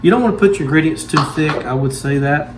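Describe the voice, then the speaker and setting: A man's voice: a little high, fast talker, background noise. A man, hosting a home cooking show and walking viewers through making pizza in a folksy, instructive way.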